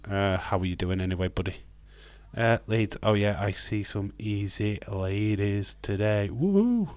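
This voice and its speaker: A man with a lowish, slightly croaky voice hosts a live stream in a loose, rambling chat. He fills gaps with hesitant ums and uhs. The sound is dry and close. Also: animated, unhurried, darkish tone, dry recording. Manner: rambling, friendly, with frequent hesitant fillers. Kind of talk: casual live watch-along chat, reading viewer comments.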